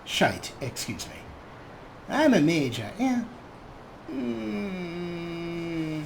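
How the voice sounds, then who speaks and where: A man's voice: a little high, big pitch swings, very slow, creaky, a little hiss or hum. A man hosting a gaming let's play, commenting in character with theatrical comic role-play.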